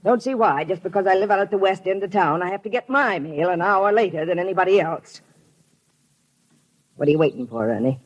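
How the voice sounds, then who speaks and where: An actress playing a crabby, prim, nosy spinster who is snippy and bossy, in 1950s radio drama style. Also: female voice, lowish voice, expressive, fairly quick, dull audio. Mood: impatient, crabby. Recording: noisy 1950s radio broadcast.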